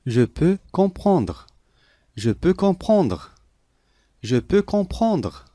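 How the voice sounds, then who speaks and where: Male lesson reader: deliberate, clear, repeats each phrase, animated intonation. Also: high-pitched, no echo, clean studio sound. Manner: clear, deliberate, each sentence said three times. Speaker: language lesson voice. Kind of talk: slow repeated phrase reading for learners.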